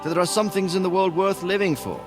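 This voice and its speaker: A man's voice: high voice, quick pace, over music, lightly acted. A man playing a fond, teasing, worldly older mentor in a medieval video game cutscene. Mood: wistful, reassuring.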